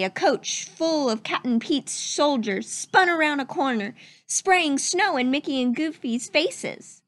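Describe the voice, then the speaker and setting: A woman reading a children's story aloud as narrator, with expressive, deliberate story-time delivery. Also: high-pitched. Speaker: storybook reader.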